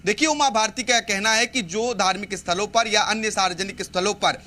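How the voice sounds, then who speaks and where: An Indian man giving a live news report in a formal, explanatory way, with halting restarts. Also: sits high, bright, forceful tone.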